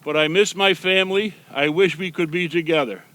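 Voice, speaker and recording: A man's voice: fairly high pitch, brisk, pushed and bright, clear, resonant tone, low-quality recording. A man reading the lines of an eager, sweet young female reindeer from a children's storybook, in earnest, simple phrases. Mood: earnest, affectionate.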